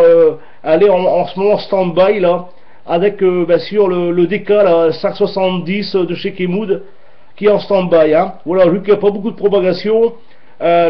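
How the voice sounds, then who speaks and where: A man's voice: high voice, lo-fi sound. A man chatting as a radio operator, hesitant and often repeating his phrases, with call signs. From France.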